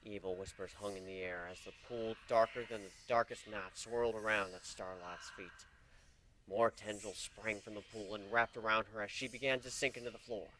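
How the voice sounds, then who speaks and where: A man giving a dramatic reading of a story, hushed and suspenseful. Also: lowish voice, fairly even intonation, moderate pace, slight background noise, low-quality recording. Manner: hushed and suspenseful, rising to shouts in tense moments. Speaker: reader. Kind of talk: dramatic reading of fan fiction.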